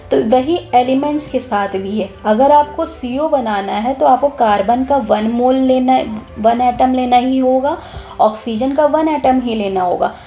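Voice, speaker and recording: A young woman, slightly hoarse, explaining a chemistry lesson in a casual, lively way, often restarting her sentences. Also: sits high. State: hoarse, clears her throat. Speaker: chemistry teacher.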